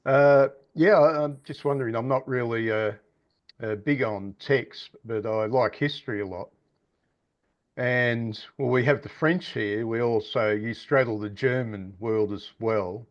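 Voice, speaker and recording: A man's voice: mid-range voice, a little slow. A man, an audience member on a video call, asking a question in a chatty and wry way. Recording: dull lo-fi video call audio.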